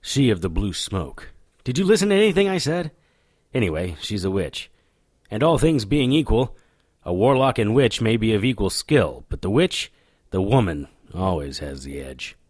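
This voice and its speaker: An actor playing a cocky, stubborn occult investigator in an audio drama, blunt and sardonic. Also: male voice, on the low side, big pitch swings, normal speed, darkish tone, dry recording, clean studio sound. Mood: cocky, insistent. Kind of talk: audio drama dialogue with first-person narration.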